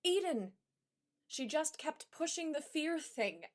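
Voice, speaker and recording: Defiant female patient, high and animated. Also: slow, noisy background, exaggerated voice. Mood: irritated. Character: defiant, angry young female patient fighting her fears. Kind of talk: horror audio drama acting.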